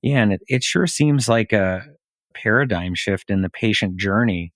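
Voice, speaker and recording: Low-voiced man hosting an interview podcast. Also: expressive, moderate pace, no echo, clean studio sound. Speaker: podcast host.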